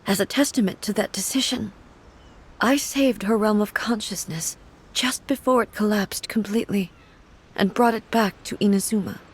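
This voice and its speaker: A woman playing a hushed, regal grieving goddess. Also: mid-range voice, husky, natural voice. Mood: sorrowful. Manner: soft, hushed and measured. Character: solemn, regal goddess grieving her sister. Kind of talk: video game dialogue.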